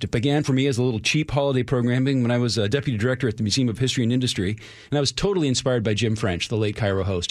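A man's voice: fairly low pitch, no room sound, studio quality. A man chatting casually as a radio drama director, wry, self-deprecating and prone to digressions.

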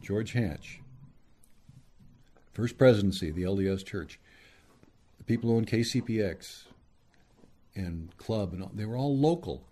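A man's voice: fairly low pitch, expressive, measured pace, pauses often, dark tone. An older man, a veteran radio journalist, reminiscing in an interview in short emphatic phrases.

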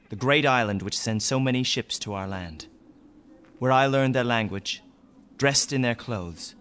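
An actor playing a wounded, dignified Native man in a radio drama, speaking solemnly and deliberately. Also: lowish voice, a bit bright, slight background noise, close to an everyday voice. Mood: humble, formal.

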